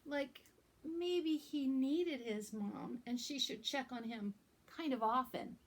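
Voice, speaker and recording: A woman hosting a children's storytime as a librarian, reading aloud and talking to young listeners in simple, deliberate phrases. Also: sits high.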